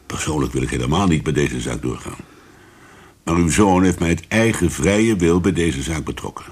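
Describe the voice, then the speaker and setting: An actor playing a weary, conscientious police commissioner in a radio drama, with a probing, plain-spoken manner. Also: male voice, very deep voice, lively intonation, normal speed, darkish tone, slightly rough, heavily acted. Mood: insistent.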